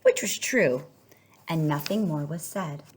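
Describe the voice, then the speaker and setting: Female storyteller for a children's picture book read-aloud, lower register, expressive delivery with drawn-out emphasis. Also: husky.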